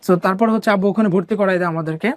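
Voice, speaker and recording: A young man from Bangladesh, an interview guest telling his life story softly and hesitantly, with many pauses and fillers. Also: sits high, dry recording. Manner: soft-spoken, hesitant, many fillers and restarts.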